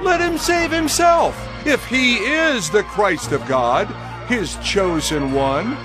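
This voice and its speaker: A scornful ruler, mocks in a high voice that swoops up and down. His scoffing is slow and drawn out, in a biblical audio drama with music behind. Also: expressive. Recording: prominent music bed.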